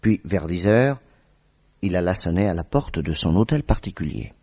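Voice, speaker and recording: A man's voice: sits low, animated, moderate pace, dark tone. A man narrating a scripted French radio drama as its storyteller. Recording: dull lo-fi radio recording.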